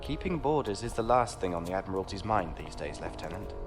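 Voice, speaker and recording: A man's voice: low-pitched, big pitch swings, brisk, music in the background. A man playing a genteel knight who talks in a courtly, patronizing way with dry wit. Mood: wry. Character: genteel, condescending male knight with a ship.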